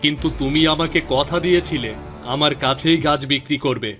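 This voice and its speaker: A man's voice: a little high, a little fast, a bit bright, natural voice. A man voicing an earnest, persistent village fellow in a cartoon moral story. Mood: hurt. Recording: music behind the voice.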